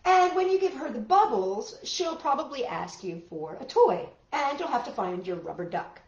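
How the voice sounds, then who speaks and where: Woman storytime host for young children, high lively voice, exclaims and chats to listeners between lines, roomy sound. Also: moderate pace. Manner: animated, exclaiming, addressing children directly. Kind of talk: read-aloud storytelling for young children with chatty asides. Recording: roomy, dull lo-fi sound, faint music.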